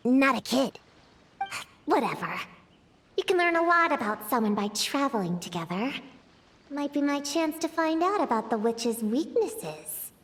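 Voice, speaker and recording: A small, sleepy ninja girl from a fantasy game. Her young voice is high, with a drowsy, nonchalant delivery and a touch of pride. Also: sing-song, normal speed, fairly bright tone, slight background noise. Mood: sulky. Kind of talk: video game voice acting.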